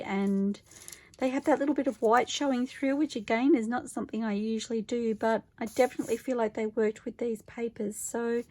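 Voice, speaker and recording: A woman with a croaky voice, chatting casually to camera about her craft project, thinking aloud and correcting herself as she goes. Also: a little high, dark tone. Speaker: crafter vlogging her junk journal project. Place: home craft table, filmed from overhead.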